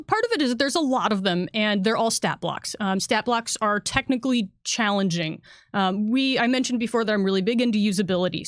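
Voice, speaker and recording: A woman's voice: fairly high pitch, no room sound, studio quality. A woman who works as a game designer, chatting casually and enthusiastically as she explains a product on a livestream. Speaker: game designer and editor on a panel.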